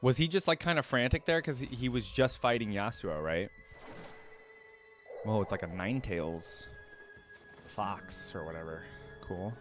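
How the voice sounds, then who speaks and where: A man with a fairly low voice gives casual reaction commentary as the host. He talks informally, working through his thoughts out loud, with music in the background. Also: normal speed, long pauses. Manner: informal, thinking aloud. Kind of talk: casual reaction commentary, some reading aloud.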